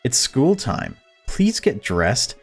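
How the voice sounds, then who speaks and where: A man's voice: mid-range voice, wildly swooping pitch, slow pace, gravelly, slightly rough, faint music behind, voice pushed up, heavily acted. A man voicing a fretful mother in a visual novel, prim and chatty, with insistently upbeat small talk. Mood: brisk. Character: fretful, forcedly cheerful mother of a family.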